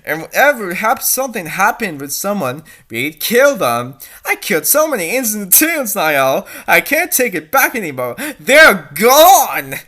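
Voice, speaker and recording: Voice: medium pitch, sing-song, measured pace, few pauses, sharp tone, pitched above the performer's own voice. A reader voicing an emotional young cartoon princess in a melodramatic, pleading way. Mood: distraught.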